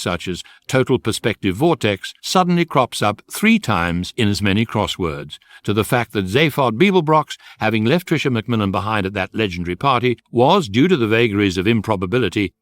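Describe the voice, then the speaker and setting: Casting: deadpan narrator of a galactic guide, erudite, animated pitch, dry close sound, radio comedy. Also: male voice, no echo. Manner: measured, precise, deadpan. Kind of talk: scripted comic radio drama narration.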